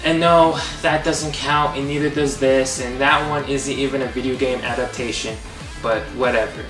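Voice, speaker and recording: A young man hosts a comedic movie review in a sarcastic, exasperated voice of middling pitch. He sounds distant and echoey, with clear guitar music under him. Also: steady tone, normal speed. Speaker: host.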